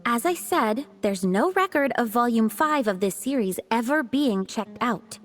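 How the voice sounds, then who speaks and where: Strict game librarian, slow n careful, highish voice, music behind. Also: female voice, expressive. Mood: businesslike. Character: reserved, unhurried, exacting librarian woman. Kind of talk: video game voice acting.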